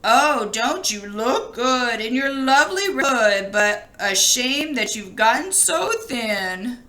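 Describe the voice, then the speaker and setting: A woman's voice: a little high, lively intonation, takes it slowly, pushed and bright, heavily acted. A woman voicing a hungry, sly male wolf from a storybook, with a wheedling, complaining way of talking.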